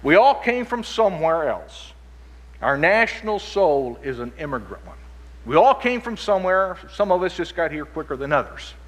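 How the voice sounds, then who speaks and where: A man raised in Chile speaks from a conference stage with a fairly high, husky voice. He lectures steadily but often doubles back on his words. A long reverb from the hall trails behind him. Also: very animated, normal speed. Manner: stammers and repeats words as he restarts. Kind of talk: lecture-style conference talk.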